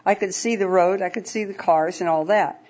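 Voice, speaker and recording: A woman's voice: medium pitch, fairly even intonation, moderate pace, a little mellow. A woman from the congregation sharing a personal testimony, telling her story earnestly and plainly with some fillers. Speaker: congregation member giving a testimony. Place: church service. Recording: dull lo-fi church recording.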